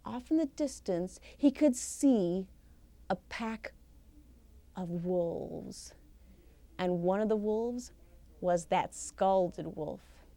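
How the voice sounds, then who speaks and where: A woman telling a folktale live as a professional storyteller, expressive and theatrical, with deliberate pauses and emphasis. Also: mid-range voice, no echo. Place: live storytelling session at a conference.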